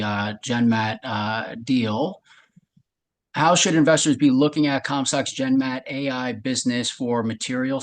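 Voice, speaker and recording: A man moderating a business question-and-answer call, phrasing his questions in a halting, measured way. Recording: low rumbling background noise.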